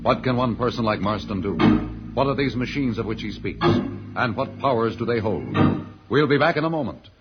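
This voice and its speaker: A man performs a portentous, dramatic announcer of a vintage radio serial. His scripted narration sits in a muffled recording with loud music. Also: medium pitch, animated, moderate pace, close to an everyday voice. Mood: ominous.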